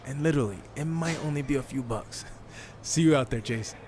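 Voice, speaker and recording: A man's voice: medium pitch, brisk, darkish tone, slight background noise, close to an everyday voice. A man playing a confident, dryly teasing indie wrestler turned new recruit in a video game cutscene. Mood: friendly, teasing.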